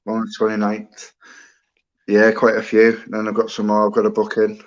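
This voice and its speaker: A man's voice: on the low side, monotone, normal speed. A cheerful British man co-hosting a live chat show, laughing easily. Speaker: medium, co-host. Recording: dull lo-fi video call audio.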